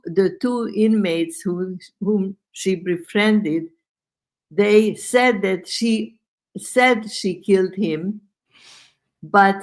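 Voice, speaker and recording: A woman who speaks slowly and thoughtfully, co-hosting a casual podcast. She weighs her words with a skeptical edge, her pitch sitting in a middle range. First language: Hungarian. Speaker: podcast co-host. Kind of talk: casual conversation.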